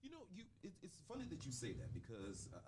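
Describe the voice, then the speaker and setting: A man hosting a casual radio interview in a studio, talking loudly and effusively, close on the mic. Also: a little high, fast, background noise. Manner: loud, effusive, animated. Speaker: radio host.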